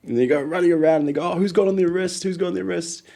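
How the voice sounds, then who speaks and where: A man with a New Zealand accent, a guest in an interview, telling stories in a lively way and acting out what people said. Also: high voice, very fast, creaky. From Ethiopia. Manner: animated storyteller, acts out quotes, laughs often. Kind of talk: conversational interview.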